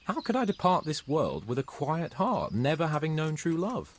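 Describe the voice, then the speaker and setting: A pompous young lord, husky voice, high-ish, lively swings, faint music behind. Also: male voice, normal speed. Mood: dramatic. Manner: lordly, theatrical. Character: vain young male nobleman, pompous and romantic. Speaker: game character. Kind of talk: video game cutscene acting.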